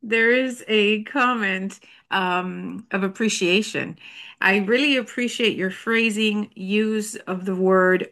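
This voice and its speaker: A woman's voice: mid-range voice, fairly bright tone. A woman acting as moderator, reading listeners' comments aloud.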